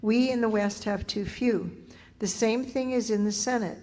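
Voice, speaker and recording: Measured woman speaking in an echoing hall. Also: on the high side, steady tone, darkish tone, a little hiss or hum. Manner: measured, corrects and elaborates points. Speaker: panel speaker. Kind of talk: explanatory reply at a public meeting. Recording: long hall reverb.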